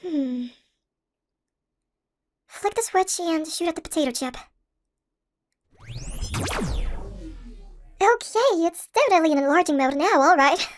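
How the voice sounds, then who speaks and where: A woman's voice: extremely high pitch, lively intonation, moderate pace, long pauses, faint music behind. A woman playing a tiny shrunken young college student, playful and affectionate, in a scripted audio roleplay. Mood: exasperated.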